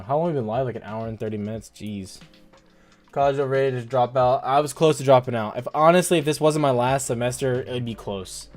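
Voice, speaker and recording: Young man, mid-pitched voice, casual rambling livestream host, faint music behind. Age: 20s. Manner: laid-back, rambling, heavy use of "like". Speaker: stock trading livestream host. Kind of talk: casual livestream chat, reading viewer comments.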